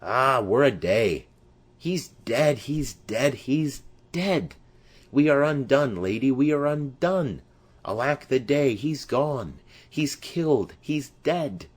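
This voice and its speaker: A man's voice: medium pitch, animated, slow, husky, voice pushed up. A man voicing a distraught, wailing female servant who laments in repeated phrases, in a Shakespearean audiobook. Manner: lamenting, repetitive.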